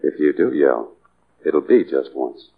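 Male, bitter loner gunman, very low voice, terse tough delivery, muffled old radio drama sound. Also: big pitch swings, a little slow, creaky, slightly rough, exaggerated voice. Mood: menacing. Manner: terse, tough street talk. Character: hunted hired gunman, cold, bitter hard-boiled loner. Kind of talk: 1940s radio drama acting.

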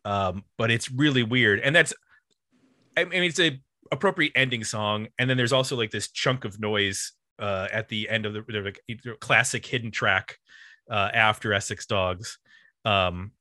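A man with a bright, forward voice and a close, dry sound. He talks casually as a music podcast host, feeling his way through thoughts in halting phrases. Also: lowish voice, no room sound. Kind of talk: casual album discussion.

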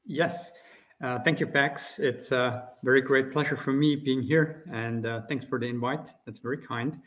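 A man's voice: mid-range voice, steady tone, fairly bright tone. Austrian-accented man, polite podcast guest. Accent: Austrian German. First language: German. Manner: polite and measured, frequent "uh" pauses. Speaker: company CEO, guest. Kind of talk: interview conversation.

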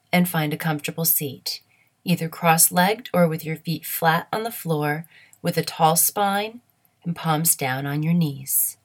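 A woman leading a guided meditation in a soothing, measured, instructional way. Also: lowish voice.

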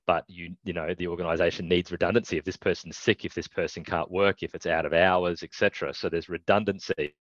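A man speaking as a guest in a casual business chat, explaining his points in a measured, steady way. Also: low-pitched, no echo. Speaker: guest, recruitment business owner. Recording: dull dry mic.

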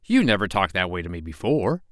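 A man voicing a mild, upright and curious gentleman doctor, earnest and polite, in a children's storybook. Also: deep voice, big pitch swings, a little fast, dry recording, clean studio sound. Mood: surprised.

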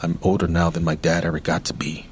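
An actor playing a weary, tender middle-aged uncle haunted by prison in a radio play, confiding haltingly and wistfully. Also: male voice, sits low, flat delivery, a little hiss or hum, close to an everyday voice. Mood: sorrowful, afraid.

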